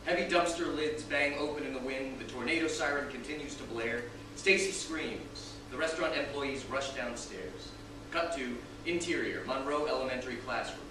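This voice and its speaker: A man's voice: mid-range voice, normal speed. A man reading scripted scene directions as narrator, recorded at a distance in a very echoey, noisy room.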